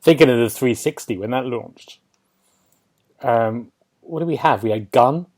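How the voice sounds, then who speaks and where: A man's voice: mid-range voice, measured pace, a little mellow. A man hosting a casual gaming podcast, rambling and thinking aloud, often seeking agreement. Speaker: podcast host.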